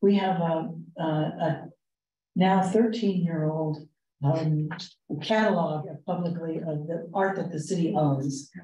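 Low-voiced woman in a roomy meeting. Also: fairly even intonation, slow, mellow, dull audio. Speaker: arts commission member leading the meeting. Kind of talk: explanatory committee meeting talk. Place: indoor meeting room. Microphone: distant room microphone. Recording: roomy, distant meeting-room microphone.

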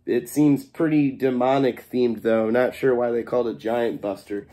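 A man's voice: mid-range voice, fairly even intonation, moderate pace, dark tone. A young man with a congested voice and a cough, casually showing off his haul in a small home kitchen and swearing freely. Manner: laid-back, swears freely, scolds his cat. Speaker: fireworks vlogger. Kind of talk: casual unboxing vlog.

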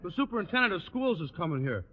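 A man's voice: sits high, big pitch swings, fast talker. An actor with an American accent playing an eager, naive, good-natured teenage boy with folksy earnestness in a 1940s radio sitcom. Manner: gosh-gee, folksy, ungrammatical earnestness. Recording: muffled, noisy old radio recording with clicks.

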